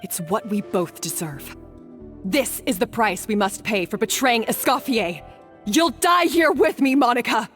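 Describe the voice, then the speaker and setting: A woman's voice: fairly high pitch, animated, a little slow, fairly bright tone, soft background music. An actress voicing a guilt-ridden woman accomplice in a video game, speaking in a resolute, bitter, dramatic way. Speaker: game character.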